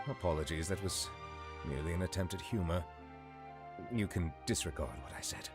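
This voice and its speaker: A man's voice: deep voice, brisk, lightly acted. A man voicing an ancient, dignified dragon judge in a game, speaking formally and stiffly. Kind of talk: game dialogue acting. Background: game music.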